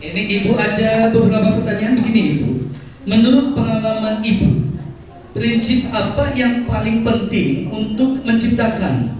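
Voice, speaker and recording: A man's voice: high voice, normal speed, a little mellow. A man moderating a stage talk show, upbeat and often calling for applause. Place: large hall with audience. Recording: echoey hall, distant mic, crowd noise.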